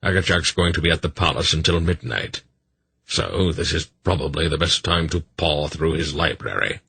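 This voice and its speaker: A man voicing a terse, practical old sorcerer in a fantasy audiobook, brisk and matter-of-fact. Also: rumbling low, creaky, bright, forceful tone, slightly rough, no room sound, heavily acted. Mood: purposeful.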